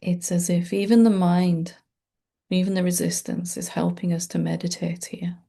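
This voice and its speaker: A woman meditation teacher leading a gentle, unscripted guided meditation in a soothing, informal and reassuring way. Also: fairly low pitch, little pitch movement, moderate pace, vocal fry.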